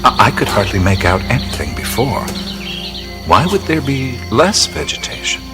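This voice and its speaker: An actor playing a seasoned jungle explorer and guide in an audio drama, speaking in a laconic, musing way. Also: male voice, mid-range voice, sing-song, moderate pace. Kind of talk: naturalistic audio drama acting. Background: music, insect and cricket sounds.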